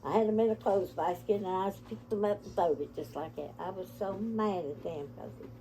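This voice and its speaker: A woman's voice: medium pitch, brisk, noisy background. An older woman with an Appalachian accent, telling family stories in a casual, folksy, rambling way. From Appalachia, United States. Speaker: family storyteller, grandmother. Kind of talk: casual reminiscing with relatives.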